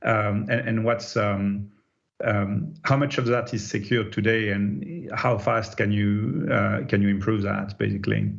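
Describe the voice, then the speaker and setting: A man's voice: on the low side, steady tone, measured pace, gravelly, lo-fi sound. A man calling into an earnings call to ask a question, with hesitant, stop-start phrasing over a conference line. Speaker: caller asking a question.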